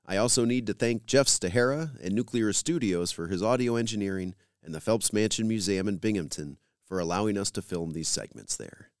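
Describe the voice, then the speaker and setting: A man hosting a podcast, reading scripted links in a measured, announcer-like way. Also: on the low side, expressive, no echo, clean studio sound. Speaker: podcast host. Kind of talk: scripted podcast host narration.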